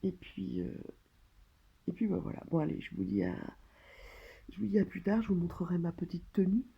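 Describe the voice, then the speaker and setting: A French woman speaking casually and hesitantly, as in a personal video diary, pausing and trailing off between phrases. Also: lowish voice, creaky, husky, dull audio. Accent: French, from France. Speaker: vlogger documenting own surgery stay. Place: hospital room.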